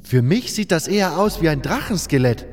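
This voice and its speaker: Earnest, higher-voiced scholar mage, voiced by man. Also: expressive, fairly quick, slight background noise, close to an everyday voice. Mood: pensive. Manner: pensive, hesitant, marvelling. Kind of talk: fantasy audio drama acting. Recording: hall-like reverb, music underneath.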